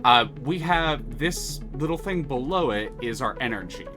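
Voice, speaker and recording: A man's voice: medium pitch, lively intonation, unhurried. A man streaming a game, chatting casually and explaining the mechanics with enthusiasm and many restarts. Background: music.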